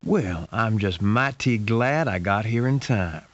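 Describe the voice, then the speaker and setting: Streetwise game detective: wry, slangy, lowish animated voice, dull hissy lo-fi audio. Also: male voice, slow. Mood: wry, casual. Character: wisecracking, streetwise male private investigator. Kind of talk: video game hero acting.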